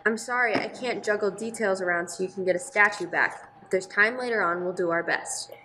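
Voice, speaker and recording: A businesslike female police captain acted by a woman gives brisk orders in a radio play. The voice is middling in pitch with a sharp, forceful edge. Clear noise sits in the live recording. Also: lightly acted, poor audio. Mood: firm. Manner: brisk, authoritative. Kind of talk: amateur radio play acting.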